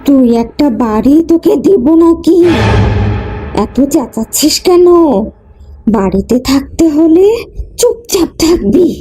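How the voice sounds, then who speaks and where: Actress as cranky cartoon granny: high voice, slow spiteful scolding, threats and wails. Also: female voice, animated, mellow, soft background music. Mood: scolding, threatening. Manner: scolding, exclamatory. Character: cranky, spiteful, threatening old village woman. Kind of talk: children's cartoon voice acting.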